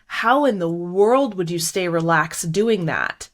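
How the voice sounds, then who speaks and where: A woman hosting a podcast interview, enthusiastic and quick to laugh. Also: on the low side, expressive. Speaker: podcast host. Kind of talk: casual interview chat.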